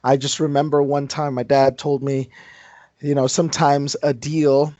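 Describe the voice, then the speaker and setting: A man's voice: mid-range voice, level tone, moderate pace, warm tone, dry recording, dull audio. A man in his thirties from Indonesia, a guest entrepreneur answering interview questions in a thoughtful, self-deprecating way. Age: 30s. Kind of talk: interview conversation.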